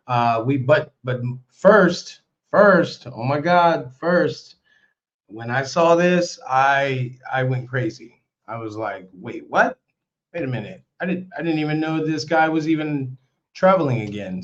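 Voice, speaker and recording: A young man hosting a casual live stream, hoarse with a worn-out voice, chatty and excitable as he repeats himself. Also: on the high side. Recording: slightly dull lo-fi stream audio.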